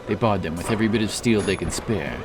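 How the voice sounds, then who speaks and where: Casting: male epic fantasy narrator, low voice, deliberate and dramatic, set back from the mic under loud music. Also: recorded from a distance. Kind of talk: scripted fantasy audiobook narration.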